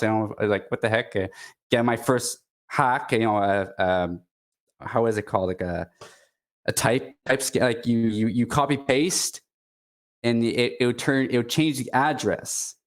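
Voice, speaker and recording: A man from Quebec with a Quebecois French accent, a native French speaker chatting casually as a guest, stopping and restarting often. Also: mid-range voice. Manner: halting, many restarts and fillers. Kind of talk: casual chat.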